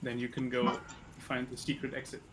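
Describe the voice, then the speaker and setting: A man's voice: medium pitch, monotone, moderate pace. Dry, teasing man on a noisy stream. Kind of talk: casual gameplay chat.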